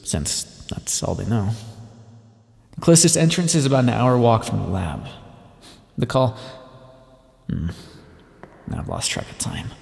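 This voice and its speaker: A weary, sardonic male scientist, acted by a man, speaks guardedly in an intimate audio roleplay. His voice is husky and creaky, with dry, halting phrasing. It rings out in a long reverb. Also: mid-range voice, full character voice. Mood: terse, watchful. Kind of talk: ASMR roleplay monologue, intimate acting.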